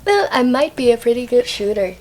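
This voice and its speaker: A young woman from Hong Kong, a podcast guest, speaking softly in simple phrasing in a casual interview. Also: on the high side, sing-song, fairly quick.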